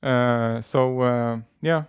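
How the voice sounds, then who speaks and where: A man's voice: mid-range voice, fairly even intonation, drawn-out words, clear, resonant tone, no echo. A man in his thirties, chatting as an interview guest with a mid-range voice. He talks in a loose, hesitant way and laughs easily. The sound is dry and close. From Lebanon. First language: Arabic and French. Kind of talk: relaxed conversational interview.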